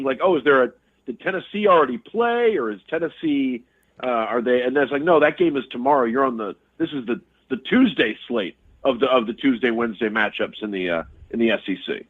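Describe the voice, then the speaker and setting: A man joins a sports talk show over a tinny phone line, breaking down a game in casual analysis. His mid-pitched voice is thoughtful, often repeating words before he lands his point. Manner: thoughtful, stammering restarts. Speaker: sports radio guest. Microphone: telephone.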